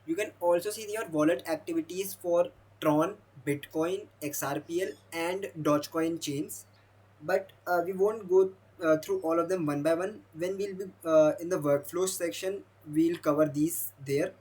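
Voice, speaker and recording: A young man, a Hindi speaker, walking through a demo of his own software while thinking aloud. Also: fairly high pitch, fairly even intonation, moderate pace, slightly rough, rough recording. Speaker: software developer demoing his app. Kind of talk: screen-recorded product demo.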